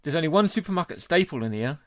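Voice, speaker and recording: Man, relaxed podcast co-host, casual and sweary, dry close recording. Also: fairly high pitch, fast, no room sound. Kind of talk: casual chat.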